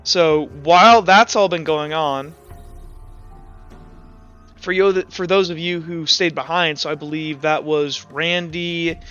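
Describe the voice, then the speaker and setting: A man runs a tabletop game with a smooth, slightly high voice. His improvised narration is informal and stumbles along casually. Music sits behind him and the sound is a bit muffled. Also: steady tone, fairly bright tone. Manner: informal, halting, filler-heavy. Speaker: game master.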